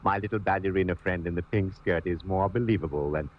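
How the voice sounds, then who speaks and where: A man playing a florid, grandiose gentleman friend full of endearments in a 1940s-style radio detective drama. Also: low voice, close to an everyday voice. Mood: arch, amused. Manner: pompous, flowery, drawn-out phrasing. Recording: dull noisy old radio recording.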